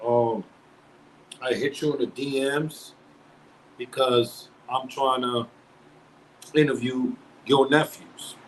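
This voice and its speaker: A man at a middle pitch hosts a live-stream talk show, chatting loosely in slang and calling out viewers by name. He shifts into long, earnest stretches where he preaches his point to the audience. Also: steady tone, slow pace, long pauses, a little hiss or hum, poor audio. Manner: slangy and conversational, reads out viewer shout-outs; speaks earnestly and preachily at length. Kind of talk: casual live-stream chat.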